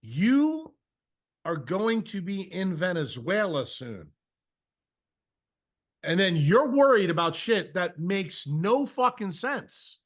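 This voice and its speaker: Man, slightly high voice, confrontational, profane, unscripted lecturing, scolding, rhetorical questions. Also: very animated, takes it slowly. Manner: uses mocking imitations and rhetorical questions. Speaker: speaker leading a question session.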